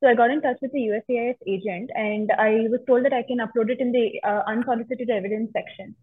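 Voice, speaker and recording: A woman's voice: on the high side, fairly even intonation, fast talker, husky. A young woman calling for advice, carefully and politely explaining her case with some hesitation. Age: young adult. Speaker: caller seeking legal advice. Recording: muffled, phone-like line.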